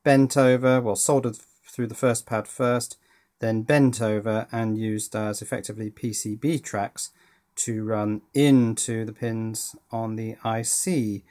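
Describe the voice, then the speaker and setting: A middle-aged British man casually narrating a hands-on hobby project, thinking aloud with hesitant pauses. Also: fairly low pitch, flat delivery, darkish tone. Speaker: electronics hobbyist presenter. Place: indoors at a workbench.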